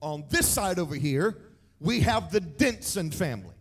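A man, preaching an energetic sermon with a handheld mic on a large church stage, emphatic and shouting key points. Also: on the high side, normal speed, slightly rough, soft background music. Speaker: pastor. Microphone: handheld microphone. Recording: echoey hall, mic set back.

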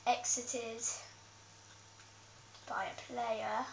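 A woman's voice: fairly high pitch, little pitch movement, drawn-out words, creaky. A young woman talking through a game tutorial, hesitant with many ums and self-corrections, heard from a camera filming a TV. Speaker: gameplay tutorial host. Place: room with a TV, off camera. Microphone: camera filming the TV screen. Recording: echoey, distant, dull, noisy camera audio.

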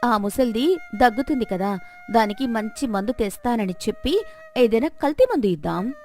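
A woman plays a greedy village wife in a storybook tale, high-voiced and animated. She plots and wheedles with exaggerated fake sweetness, over faint music. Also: moderate pace. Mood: impatient plotting. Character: greedy, scheming, sugary-tongued middle-aged village wife.

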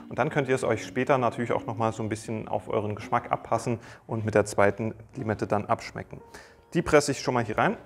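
A young man talking through a recipe in an easygoing, chatty way, dropping small jokes as he cooks. His voice sits at an ordinary middle pitch, close and clean on a lapel mic in a bright home kitchen, with faint music underneath. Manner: friendly, chatty, explains step by step with small jokes. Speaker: cooking channel host. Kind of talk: casual cooking tutorial.

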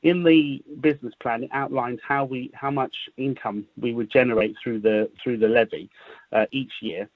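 A man explains things in a semi-formal, measured way on a conference call, repeating words as he goes. The sound is dry and phone-like, with a narrow, muffled band. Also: mid-range voice, fairly even intonation, dry recording. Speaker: business improvement district representative.

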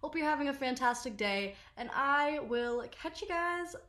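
A woman's voice: sits high, animated, moderate pace, fairly bright tone, roomy sound. A young woman rambling casually to the camera about her favorite makeup, with lots of "like" fillers and self-deprecating asides. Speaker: social media creator. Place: small bedroom, indoors.

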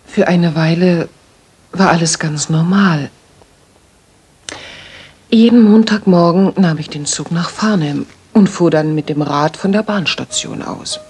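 An actress playing a proper young Victorian lady in a radio play, polite and measured, with a husky, breathy voice. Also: lowish voice, darkish tone. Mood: reserved, confiding. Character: proper, modest young Victorian lady client, firm when pestered. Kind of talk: dramatic German radio play acting.

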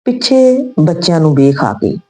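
A woman's voice: fairly low pitch, very animated, normal speed, warm tone. A woman voicing a wistful, regretful old retired soldier reminiscing in a told story. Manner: reminiscing, sentimental.

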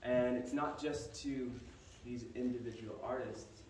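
A young man giving a halting, earnest ministry update in a large church sanctuary, heard from a distant camera with no microphone. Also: mid-range voice, fairly even intonation, a little mellow. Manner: earnest, slow and halting with fillers. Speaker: missionary guest speaker. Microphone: far camera, no microphone. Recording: echoey hall from far off, background hiss.

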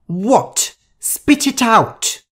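A woman's voice: sits low, lively intonation, slow pace, darkish tone, pitched above the performer's own voice, full character voice. A woman voicing a haughty, vain, hot-tempered older heiress in an audiobook story, with an imperious, theatrical manner. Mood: annoyed, demanding.

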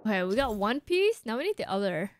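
A woman game streamer giving casual live commentary to chat in informal, halting, slangy speech. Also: mid-range voice, big pitch swings, a little hiss or hum. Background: game music.

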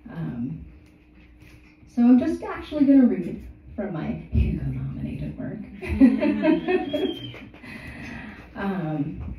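A woman's voice: mid-range voice, wildly swooping pitch, drawn-out words, pauses often, muffled recording, a little hiss or hum. Chatty woman author, echoey distant gallery. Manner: chatty, hesitant fillers, self-deprecating. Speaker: author reading at open mic. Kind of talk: casual talk and story reading. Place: small art gallery, indoors.

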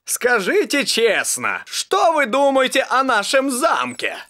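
A woman's voice: sits high, wildly swooping pitch, slow pace, pushed and bright, heavily acted. A woman voicing a gushing, snobbish queen with a sugary, condescending manner in a children's cartoon dub.

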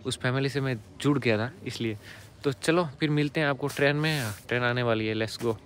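A man's voice: medium pitch, fairly quick, a little hiss or hum. A young man from India talks casually to his viewers, filming himself with a phone at arm's length on an outdoor railway platform at night. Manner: chatty, addresses viewers directly, drops to a hush near sleeping passengers. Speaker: travel vlogger. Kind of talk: casual travel vlog to camera. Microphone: phone held at arm's length.

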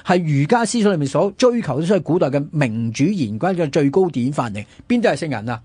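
A man with a Hong Kong Cantonese accent talks history at a brisk clip, his voice fairly high and rough-edged. He is emphatic and punctuates his explanations with rhetorical questions. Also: very animated, dark tone, no echo. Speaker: history scholar on a radio programme. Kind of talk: animated history discussion.

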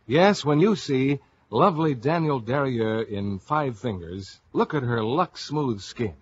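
A man's voice: medium pitch, animated, a little slow, breathy edge. A man announcing on a 1950s radio show, reading scripted advertising. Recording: muffled vintage radio broadcast.

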